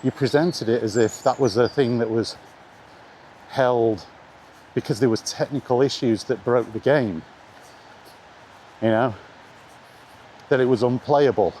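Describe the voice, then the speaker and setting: A man's voice: medium pitch, unhurried, pauses often, a little mellow. A middle-aged man giving a casual, blunt opinion monologue as a video blogger, repeating his points for emphasis while recording outdoors. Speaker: video blogger talking about retro games. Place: outdoors in the rain, walking. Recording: noisy outdoor recording with ambient noise.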